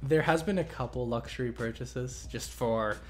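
A man hosting a casual question-and-answer chat on investing, talking in a relaxed, rambling way with many fillers and restarts. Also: medium pitch, normal speed. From Canada. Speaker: investing channel host.

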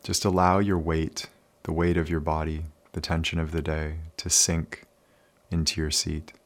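A man leading a guided meditation in a calm, soothing, unhurried manner with many pauses. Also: very deep voice.

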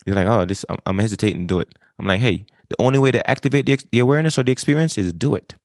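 A man, talking as an interview guest in an energetic way, often repeating and restarting phrases. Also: on the low side, rapid-fire, no echo, studio quality. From Jamaica. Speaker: guest, wisdom coach. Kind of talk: casual interview talk.

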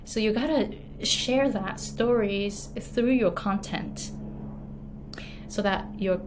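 Japanese-accented woman coach, unhurried friendly storytelling, frequent laughs. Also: medium pitch, background noise. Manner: laughs often, many fillers and restarts. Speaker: English pronunciation coach. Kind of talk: casual talk, storytelling tips.

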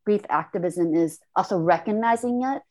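A woman born in Korea, speaking in a reflective conversation with thoughtful, halting phrasing and frequent restarts. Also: medium pitch, darkish tone.

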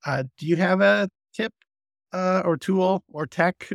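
A man's voice: sits high, a little slow, vocal fry, no echo, clean studio sound. A man hosting a podcast interview, chatting in a friendly way and often restarting his sentences. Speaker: podcast host.

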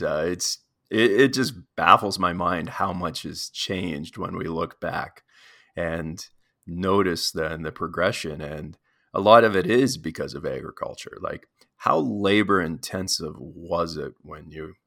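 Low gravelly guy hosting a podcast, lots of uhs, dry close sound. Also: dry recording. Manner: enthusiastic intro, then relaxed and halting with many fillers. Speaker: podcast host. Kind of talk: podcast interview.

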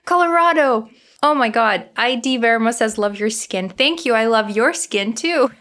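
A woman's voice: a little high, animated, a little slow, fairly bright tone. A woman in her thirties from Canada with a slight Ukrainian accent, chatting on a casual live stream as host, bubbly and giggly. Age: 30s. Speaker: skin health host.

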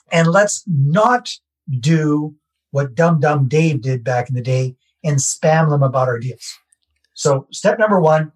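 A Canadian real estate investor speaks in a husky, slightly raised voice. He talks casually and pokes fun at himself, and he comes back to the same key phrases as he lays out his method for a podcast host. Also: a little high, poor audio. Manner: conversational, self-deprecating, repeats key phrases. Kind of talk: podcast interview, explaining a process.

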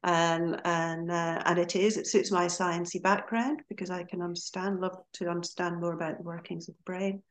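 A middle-aged woman with a Scottish accent from Aberdeen talks in a relaxed, chatty way as a podcast guest. Her voice sits fairly low, and she laughs easily as she goes along. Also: moderate pace, few pauses. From Scotland. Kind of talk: casual interview chat.

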